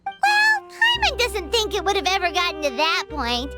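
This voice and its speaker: A woman voicing a tiny, cheerful, childlike fairy companion in an English game dub, with a squeaky voice. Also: wildly swooping pitch, normal speed, exaggerated voice. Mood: earnest, curious. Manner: bubbly, refers to self in third person. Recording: music behind the voice.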